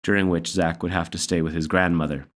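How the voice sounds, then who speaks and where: A man with a low-pitched voice reads a children's story aloud. He delivers it as scripted storybook narration. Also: little pitch movement, fast. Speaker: story reader.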